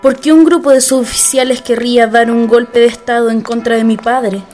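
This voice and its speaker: Woman playing a formal, high-voiced president's daughter. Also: steady tone, fairly quick, a little mellow, faint music behind, natural voice. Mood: incredulous. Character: president's dignified, loyal daughter. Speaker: actress in a radio play. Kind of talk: historical radio drama acting.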